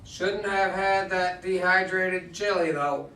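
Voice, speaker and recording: A man playing a joking, scatterbrained astronaut in an amateur play reading, with halting, slightly muddled radio talk. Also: high voice, pushed and bright, a little hiss or hum, exaggerated voice. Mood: breezy, loose. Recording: echoey room, distant microphone.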